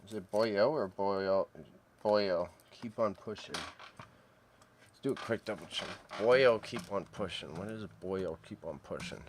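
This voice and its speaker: A man's voice: on the low side, slow. A man on a casual livestream, talking to himself in short phrases as he works as a reseller and reads item details aloud, with keyboard clicks behind him. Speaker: streamer, antique reseller. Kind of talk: casual livestream work narration, muttering to self. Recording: clear background noise, keyboard clicks. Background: typing and computer clicks.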